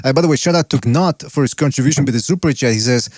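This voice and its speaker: A man's voice: mid-range voice, very fast, darkish tone, dry recording, studio quality. A man hosting a podcast, reading viewer super chats and joking wryly. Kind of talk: casual chat.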